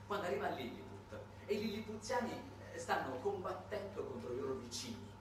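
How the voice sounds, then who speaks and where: Animated man storytelling in an echoey room. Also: high voice, brisk. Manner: animated, conversational, dramatic swings in volume. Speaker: solo theatre performer and narrator. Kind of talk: theatrical monologue, satirical storytelling. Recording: very echoey, distant, noisy stage recording.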